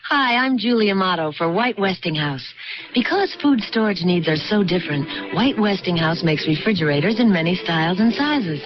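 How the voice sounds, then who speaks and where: A woman's voice: fairly low pitch, animated, moderate pace. A woman reading a scripted radio commercial as a product spokeswoman. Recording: dull lo-fi radio broadcast. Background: music.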